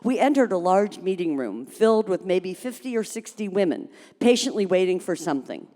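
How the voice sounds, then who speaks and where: A woman reading a humorous story aloud at a live event, expressive and dramatic, in a reverberant hall. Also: mid-range voice, moderate pace. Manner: expressive, acts out dialogue.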